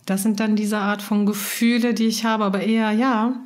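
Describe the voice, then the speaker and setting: A woman's voice: mid-range voice, level tone, moderate pace. A woman from Germany, raised bilingual in German and Italian, chatting thoughtfully on a podcast and laughing easily. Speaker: podcast co-host. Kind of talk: casual conversation about language learning.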